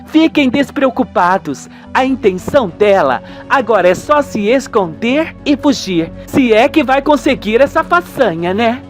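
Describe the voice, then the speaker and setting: A man plays a caring young daughter in a radio soap. She speaks high and lively, in a chatty, insistent way, over soft music. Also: unhurried, hardly any pauses. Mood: reassuring. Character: young woman, caring daughter, breezily reassuring her parents.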